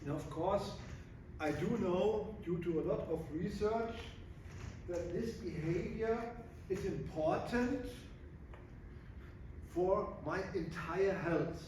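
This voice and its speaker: A man's voice: high-pitched, darkish tone. German-accented man in his sixties lecturing. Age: 60s. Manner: halting, searching for words with many uh fillers. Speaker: physiologist giving a guest talk. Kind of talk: presentation to an audience. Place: large echoey hall. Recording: echoey room from far off, noisy.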